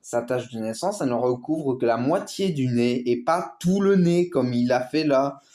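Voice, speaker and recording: A man chatting through a reaction video, mid-pitched and rambling. He talks loosely and keeps stopping to explain. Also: expressive, moderate pace, darkish tone. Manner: rambling, lots of fillers. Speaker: YouTube reaction host. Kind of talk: casual reaction commentary.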